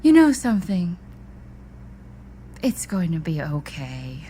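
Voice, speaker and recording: An actress playing a tipsy, oddly formal woman in an audio drama, grandiose, deliberate and cryptic. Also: lowish voice, sing-song, warm tone, exaggerated voice. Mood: reassuring, tipsy. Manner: grandiose, deliberate, theatrical phrasing.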